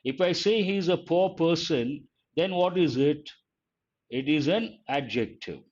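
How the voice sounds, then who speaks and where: An older man with an Indian English accent teaches an online English grammar class, calling on students by name, asking questions and repeating the key points slowly. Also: a little high, darkish tone. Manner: addresses students by name, asks and answers his own questions. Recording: dull lo-fi audio.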